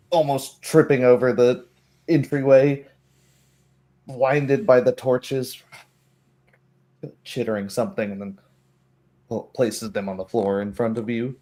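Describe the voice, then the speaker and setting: A man with a fairly low voice narrates a tabletop game. He is the game master, speaking at a very slow pace and improvising with thoughtful pauses. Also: mellow.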